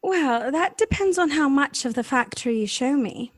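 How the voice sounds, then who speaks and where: A woman's voice: sits high, lively intonation, moderate pace, no room sound. A woman playing a poised, inquisitive young society lady in a 1930s-style radio drama, polite and formal. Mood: coy, curious.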